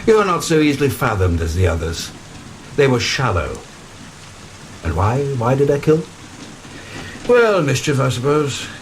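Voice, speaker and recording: Guy doing an old vampire lord, deep-ish and very animated, mocking and commanding, slow deliberate horror radio play, stormy noise behind. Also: lightly acted, poor audio. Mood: sardonic. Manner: theatrical, sardonic, deliberate. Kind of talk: gothic horror audio drama acting. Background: rain and thunder.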